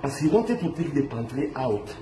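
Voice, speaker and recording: A middle-aged man from Lebanon telling his story in an animated, halting way, restarting often and mixing in pidgin words. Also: mid-range voice. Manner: excited, halting, many restarts. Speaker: interviewee recounting a kidnapping. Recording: echoey with loud street ambience.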